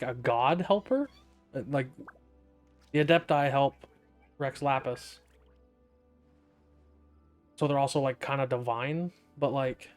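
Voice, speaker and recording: A man's voice: medium pitch, a little mellow. Wry man commenting over game music. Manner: sceptical, wry asides. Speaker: gaming streamer. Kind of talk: casual game playthrough commentary.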